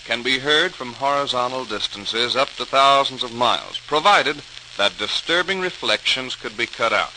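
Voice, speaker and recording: An assured, precise scientist laying out his findings formally. The voice is mid-pitched, in old-time radio style with heavy surface noise. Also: lively intonation, normal speed, bright, forceful tone. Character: assured, precise male research physicist addressing officials. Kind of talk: 1940s radio acting. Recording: hissy, crackling 1940s radio recording.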